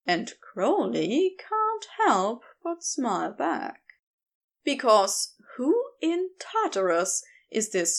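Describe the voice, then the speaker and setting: Woman reading fiction aloud, takes it really slow, highish voice that moves around a lot. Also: creaky. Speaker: podfic narrator. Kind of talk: scripted story narration.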